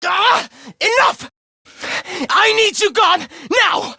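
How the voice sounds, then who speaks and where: Casting: sadistic young anime game villain, slow deliberate delivery, hugely varied pitch, theatrically contemptuous. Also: male voice, sing-song, a bit bright, dry recording, clean studio sound, full character voice. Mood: manic rage. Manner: mock-polite, theatrical, swinging into shrill ranting. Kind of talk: anime-style video game voice acting.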